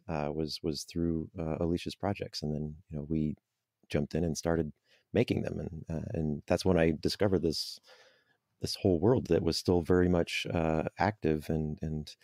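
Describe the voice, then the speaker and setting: A man with a low voice talks casually as an interview guest. The sound is close and dry, and he rambles a bit, circling back over his words as he thinks. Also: a little mellow, no room sound. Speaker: interview guest, voice actor and audio drama creator.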